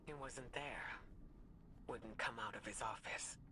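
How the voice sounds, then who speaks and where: A woman playing a scholarly, earnest warrior leader in a video game, measured and soft-spoken. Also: very deep voice, heavily acted. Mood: serious. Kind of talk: scripted video game dialogue. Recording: clear background noise.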